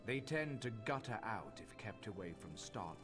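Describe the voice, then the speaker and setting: An actor playing a learned master crafter in a fantasy game, speaking in a formal, measured, instructive manner. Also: male voice, on the low side, sing-song. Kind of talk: scripted fantasy game dialogue. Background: game music.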